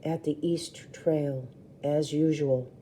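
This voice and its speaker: A woman voicing a calm but worried scientist father in a storybook, quiet, measured and tense. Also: low-pitched.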